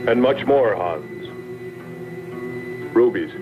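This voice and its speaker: A man's voice: fairly low pitch, big pitch swings, extremely slow, narrow, tinny audio, full character voice. A man playing a keen, assured astronaut explorer with dramatic, declarative delivery in dubbed 1960s science-fiction film style. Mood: intrigued. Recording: thin old film track, loud music.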